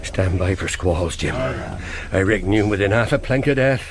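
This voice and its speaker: An actor playing a wily middle-aged pirate captain in a radio drama, with a theatrical seafaring dialect, moving between wheedling joviality and commanding menace. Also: male voice, sits low, moderate pace, a crowd in the background, close to an everyday voice. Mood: grave, confiding.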